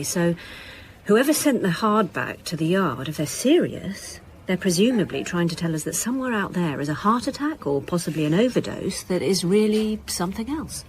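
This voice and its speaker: A woman's voice: mid-range voice, lightly acted. An actress playing a wry, thoughtful police detective inspector in naturalistic radio drama dialogue, with faint noise behind her.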